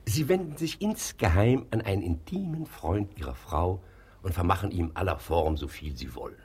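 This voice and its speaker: An actor playing a smooth, sly notary, formal and insinuating, in a German radio comedy. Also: male voice, deep voice, expressive, moderate pace, noisy background. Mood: conspiratorial. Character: smooth, sly, obliging notary bending the law. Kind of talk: German radio comedy acting of a classic play.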